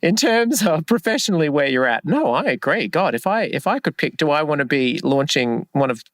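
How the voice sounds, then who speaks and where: A man's voice: a little high, big pitch swings, a little fast, no room sound. Playful man, dry booth sound. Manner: playful, camp, self-mocking. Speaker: podcast co-host. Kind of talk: casual podcast chat. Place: recording booth. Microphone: laptop recording.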